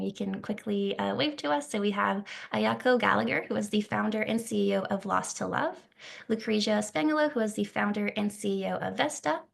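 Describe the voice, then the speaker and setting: A woman hosts an online session over a video call, friendly and full of fillers. Her voice has a heavy gravelly fry. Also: mid-range voice. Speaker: event host.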